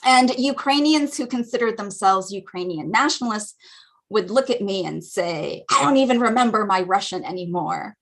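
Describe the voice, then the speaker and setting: A woman's voice: medium pitch, lively intonation, moderate pace, poor audio. American woman giving an academic lecture. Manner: explanatory, thoughtful, frequent ums. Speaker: guest lecturer. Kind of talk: academic lecture at a community teach-in. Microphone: video call.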